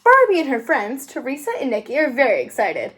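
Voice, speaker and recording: A woman reads a children's book aloud. Her voice is high and swings widely in pitch, with an expressive, sing-song storytelling delivery suited to young listeners. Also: brisk, husky. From United States. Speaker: host of a read-aloud channel.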